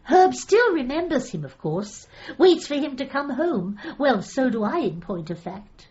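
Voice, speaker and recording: Meek doleful widow, high-pitched, hesitant, polite, literal-minded, comic radio play, slightly dull recording. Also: female voice, big pitch swings, measured pace, darkish tone. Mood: doleful, apologetic. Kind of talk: comic radio drama acting.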